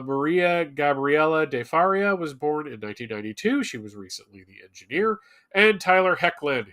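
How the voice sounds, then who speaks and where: A man co-hosting a live fan show, reading a loosely scripted rundown with hesitant pauses and wry asides. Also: medium pitch, fairly bright tone. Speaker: show co-host presenting comics history segment.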